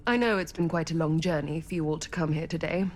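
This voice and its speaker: Woman acting controlling collector, lowish pitch, formal, gracious, measured, subtle menace, cinematic game. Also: a little hiss or hum, close to an everyday voice. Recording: music under the voice.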